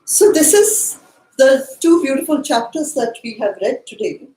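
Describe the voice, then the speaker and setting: A woman hosting a book study, speaking in a measured way as she sums up points and poses questions. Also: high voice, expressive, mellow. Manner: measured, summarizing and posing questions. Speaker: study session host. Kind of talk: guided book study discussion. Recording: echoey, roomy sound.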